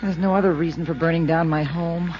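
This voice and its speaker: A woman's voice: deep voice, steady tone, brisk, natural voice. Woman playing a scared young widow, anxious, pleading, old radio play, muffled lo-fi sound. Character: frightened widow in a burned-out house. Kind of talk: 1950s radio drama acting. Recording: dull vintage radio recording, faint hiss.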